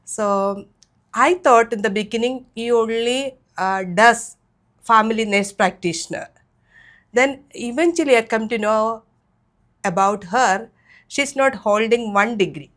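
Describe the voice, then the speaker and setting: A woman's voice: on the high side, unhurried, fairly bright tone. A middle-aged woman hosting an interview, talking in a rambling way with many fillers. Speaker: host.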